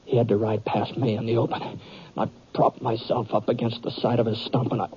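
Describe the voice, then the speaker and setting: An actor playing a wounded, plain-spoken gunfighter who narrates haltingly in an old-time radio western. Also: male voice, medium pitch, flat delivery, dark tone, breathy edge. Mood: weak, straining. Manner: halting, folksy drawn-out storytelling. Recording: muffled old radio recording with hiss.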